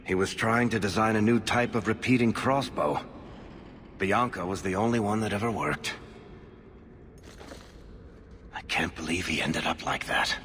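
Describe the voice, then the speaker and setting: An actor playing a roguish dwarf adventurer in a video game, sardonic and wisecracking. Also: male voice, fairly low pitch, moderate pace, a bit bright, long reverb tail, slight background noise, close to an everyday voice. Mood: rueful. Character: wry, roguish male dwarf adventurer and storyteller. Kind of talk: conversational video game acting.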